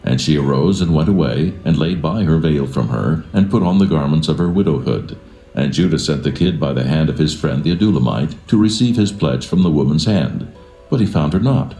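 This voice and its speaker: A man narrating scripture in a solemn, measured and formal scripted style, with a reverent manner. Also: very deep voice, expressive, dark tone. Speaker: Bible narrator. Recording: roomy echo with music behind.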